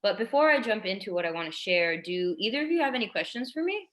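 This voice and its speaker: A woman acts out a tactful grade-school teacher in a contemporary drama reading. Her voice is mid-pitched, and she speaks carefully and apologetically, hesitating often but staying gently persistent. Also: very animated, quick pace, low-quality recording. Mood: reassuring.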